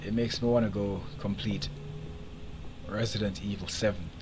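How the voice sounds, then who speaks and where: A man's voice: lowish voice, steady tone, moderate pace. Jamaican male streamer, chatty commentary, faint background noise. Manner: chatty, opinionated, laughs often. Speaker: game streamer. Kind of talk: casual live gameplay commentary.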